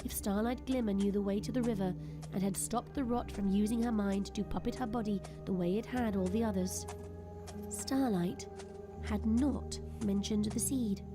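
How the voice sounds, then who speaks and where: A woman's voice: medium pitch, normal speed. A woman reading scripted narration for an audio drama. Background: faint background music.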